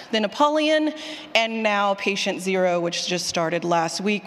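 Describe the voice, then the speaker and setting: A woman giving an informal presentation to an audience on a handheld mic, chatty and self-interrupting with jokey asides. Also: medium pitch. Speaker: conference session speaker. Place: large room with an audience. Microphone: handheld microphone. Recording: long hall reverb.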